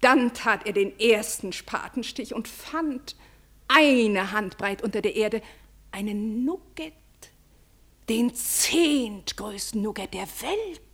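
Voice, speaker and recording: Radio drama: deferential, gossipy aristocratic lady. High, very animated voice, effusive delivery, theatrical emphasis. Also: husky, heavily acted. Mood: eager, deferential. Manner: effusive, dramatic storytelling with emphatic drawn-out words. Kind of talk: German radio play acting.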